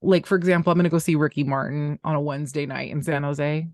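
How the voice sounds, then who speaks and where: A woman with a notably low voice chats with a friend on a podcast, jumping between stories with excitement and frequent restarts. Her voice is recorded dry and close, with no room sound. She is middle-aged and talks in a loose, animated way. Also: quick pace, no room sound. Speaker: podcast co-host. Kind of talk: casual chat.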